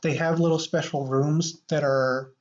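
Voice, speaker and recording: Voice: medium pitch, fairly even intonation, a little slow. Rambling podcast host, muffled sound. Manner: rambling, repeats phrases. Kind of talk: casual improvised banter.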